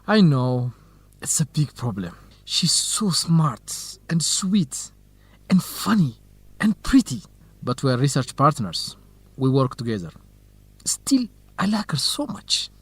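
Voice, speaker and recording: An actor playing an earnest, cautious, lovestruck young research assistant in an educational radio drama. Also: male voice, medium pitch, very animated, slow, husky, no echo, full character voice. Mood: smitten, wistful. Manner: earnest, anxious, principled.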